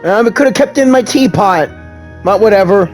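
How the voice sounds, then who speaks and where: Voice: medium pitch, lively intonation, a little fast, darkish tone, faint music behind. A video game player giving casual commentary and speaking along with the story during a playthrough.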